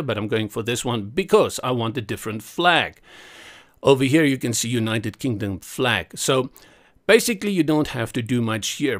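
A man with a South African accent, whose first language is Afrikaans, narrates a screen recording step by step. He sits at his computer mic and keeps up a chatty running commentary, often catching and correcting himself. His voice sits at an ordinary middle pitch for a man. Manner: chatty, self-correcting, talks through each click. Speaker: web design tutor. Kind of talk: screen-recorded software tutorial.

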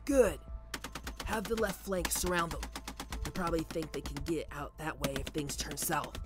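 A man's voice: a little high, close to an everyday voice. A man playing a ruthless, cocky mob boss with a protective streak in an ASMR roleplay drama, commanding and taunting. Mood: angry, commanding. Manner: commanding, taunting, slow deliberate delivery. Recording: loud music bed under voice. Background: music.

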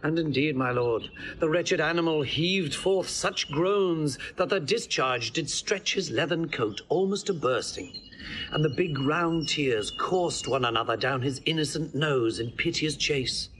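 An actor playing a courtly lord who recounts a scene to his duke in classical stage style, animated and amused. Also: on the high side, unhurried, a little hiss or hum. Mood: amused recounting. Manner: animated, mimics another man's sayings. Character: courtly male lord, storyteller of the deer. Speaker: lord attending the exiled duke.

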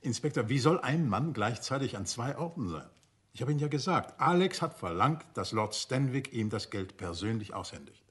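An actor playing a shrewd, courteous gentleman detective in a German radio crime drama, urbane, probing and gently ironic. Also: medium pitch, very animated, moderate pace, husky. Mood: shrewd, composed.

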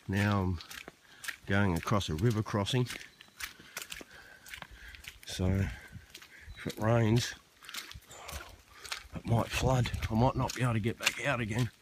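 Man, lowish voice, casual hiking vlogger, slightly puffed, outdoor camera audio with noise. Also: fairly even intonation, takes it slowly, long pauses. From Australia. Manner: chatty, talking to camera while hiking. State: slightly out of breath from walking. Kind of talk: casual walking vlog. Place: outdoors on a rainforest walking track. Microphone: handheld camera.

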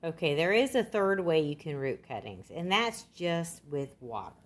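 Older woman, low pitch, casual instructional narration, folksy delivery, indoor kitchen recording. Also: big pitch swings, normal speed. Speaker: gardening demonstrator.

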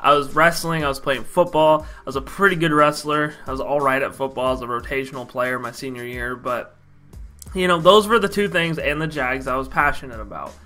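A young American man from Idaho telling a story casually to camera, talking in an animated, slangy, emphatic way. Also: medium pitch, brisk, soft background music. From Idaho, United States. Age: young adult. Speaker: YouTube vlogger. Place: small bedroom, indoors.

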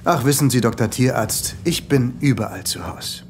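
Actor as a suave, flattering mystery visitor. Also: male voice, medium pitch, normal speed, soft background music, natural voice. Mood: charming, flattering. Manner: smooth-talking, courteous, presumptuous. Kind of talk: comedic sci-fi audio drama acting.

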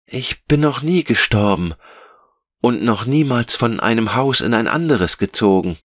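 An innocent little girl from a fairy tale, played by a man, pitched well above the reader's own voice. The delivery is eager, curious and lilting, in a dry recording. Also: lively intonation, a little slow, a bit bright, dry recording. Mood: wistful, curious. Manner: eager, naive, lilting questions. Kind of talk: fairy-tale audiobook character.

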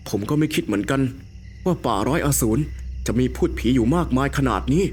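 A man's voice: mid-range voice, animated, brisk, darkish tone, soft background music, lightly acted. A man voicing a polite, earnest young monk, the hero of a horror cartoon. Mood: earnest, concerned. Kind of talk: animated ghost story character acting.

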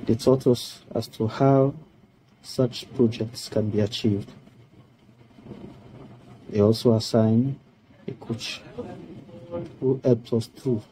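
A middle-aged man from Sierra Leone delivers a prepared testimonial over a webcam. He speaks slowly and formally, with a very dark, mellow tone. A faint hiss of room noise sits under his voice. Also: medium pitch, fairly even intonation, poor audio. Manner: slow, measured, formal delivery. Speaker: project participant giving a testimonial. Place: indoor room. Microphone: webcam on a video call.

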